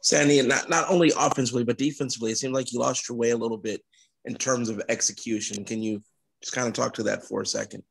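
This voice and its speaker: Gravelly, husky-voiced man reporter. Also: mid-range voice, steady tone, quick pace, poor audio. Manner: direct follow-up questions. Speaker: sports reporter. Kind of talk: press conference question.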